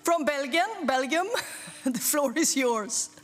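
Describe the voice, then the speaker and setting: A woman's voice: high voice, expressive, slow. A woman chairing a plenary session, talking in an informal, apologetic way with fillers. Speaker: conference chair.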